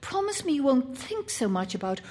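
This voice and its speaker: A woman's voice: high-pitched, very animated, normal speed, a little mellow, voice pushed up. A woman voicing an anxious, domineering and weary mother in a story, coaxing and admonishing in a fretful way. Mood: weary, resigned.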